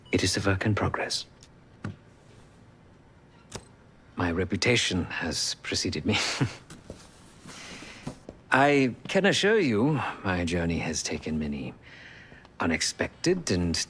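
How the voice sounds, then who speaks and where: A man's voice: low voice, a bit bright, full character voice. A fussy spy gadget man for a game, super formal and dramatic, voice swings around a lot, bit of background noise. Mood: wry, self-assured. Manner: formal, florid, deliberate phrasing. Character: fussy theatrical middle-aged male spy tech specialist. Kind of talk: video game character acting.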